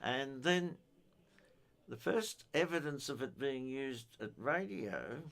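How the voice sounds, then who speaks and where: Older aussie guy explaining technical stuff, bit halting, methodical, informal radio hobby talk. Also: mid-range voice, animated, gravelly, fairly bright tone. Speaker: amateur radio enthusiast giving a technical talk.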